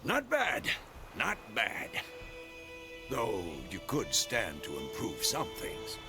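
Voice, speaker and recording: An actor playing a gruff, wise old monster-hunter mentor in a video game, gravelly and terse in delivery. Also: male voice, medium pitch, huge pitch swings, slow, over music, heavily acted. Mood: gruff, instructive. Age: older. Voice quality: gravelly, creaky. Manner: terse, weighty, instructive.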